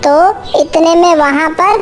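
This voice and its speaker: A woman's voice: very high voice, slow. A woman voicing a small female bird, earnest and easily indignant, in a children's cartoon story, with music behind. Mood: earnest recounting. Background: faint music.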